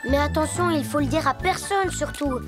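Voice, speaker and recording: Actrice pour jeune hippopotame prudent de dessin animé, voix aiguë, musique forte derrière. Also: female voice, sits high, lightly acted. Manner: hesitant, gives up quickly. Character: cautious young hippo boy, easily discouraged. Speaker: voice actor. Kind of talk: cartoon voice acting. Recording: studio voice with loud cartoon music.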